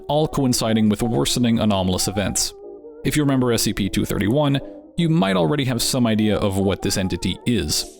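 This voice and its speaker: A man hosting a channel, giving scripted narration with wry, dryly joking asides. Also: medium pitch. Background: background music.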